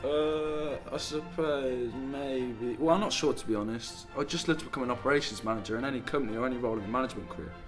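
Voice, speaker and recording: A man's voice: medium pitch, quick pace, hardly any pauses, natural voice. Guy as a teen lad, polite but informal, mid voice, bit of music under. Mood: hesitant. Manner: informal, hesitant. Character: polite, down-to-earth working-class teenage boy. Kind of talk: radio drama acting.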